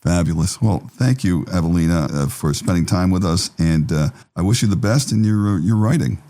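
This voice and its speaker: A man's voice: low voice, moderate pace, dark tone. A man hosting an interview in a polite, plain-spoken and slightly hesitant way. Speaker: host.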